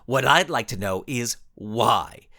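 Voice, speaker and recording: Storybook skull-headed villain, performed by a man: haughty, formal speech, animated delivery. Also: mid-range voice, takes it slowly, no echo, studio quality. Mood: mocking, defensive. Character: indignant male skull-headed villain coaching children's soccer.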